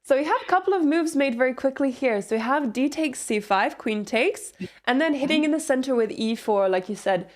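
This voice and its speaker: A woman's voice: high-pitched, animated, fairly quick. A woman commentating on a chess game, chatty and explaining moves with light laughs.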